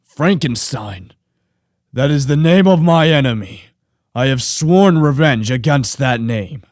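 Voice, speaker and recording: A man's voice: mid-range voice, animated, unhurried, darkish tone, no room sound, clean studio sound, lightly acted. A man acting a lonely, vengeful monster in a radio play, speaking in a grave, formal, aggrieved way. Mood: vengeful, demanding. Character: lonely, vengeful male monster created by a scientist. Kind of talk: radio play narration and dialogue.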